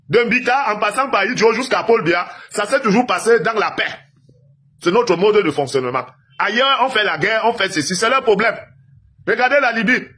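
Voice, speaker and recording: A man's voice: sits high, bright, forceful tone, husky. A man from Cameroon giving an emphatic political monologue to viewers, lecturing and asking rhetorical questions. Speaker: political commentator.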